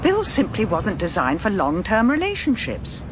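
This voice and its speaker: An actress playing a brisk, romantic, well-spoken English woman detective in a radio drama, with theatrical enthusiasm. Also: mid-range voice, huge pitch swings, noisy background. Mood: firm, defensive. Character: Josephine Daunt, brisk romantic theatre-loving female private detective.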